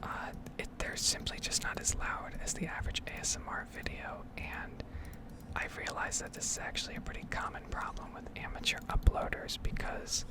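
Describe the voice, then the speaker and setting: A woman whispering in a heavy, breathy voice close to the microphone, rambling casually and hesitantly as in an ASMR gameplay video. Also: normal speed.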